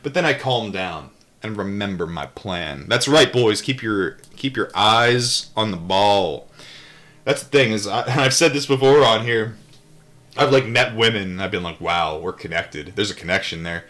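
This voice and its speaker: A man with a fairly low voice reads aloud to an online audience. His delivery is that of an informal audiobook host, with irreverent, off-the-cuff commentary in a rambling style. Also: moderate pace, fairly bright tone. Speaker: host reading a book aloud to a live chat. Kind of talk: audiobook-style reading with casual off-the-cuff asides.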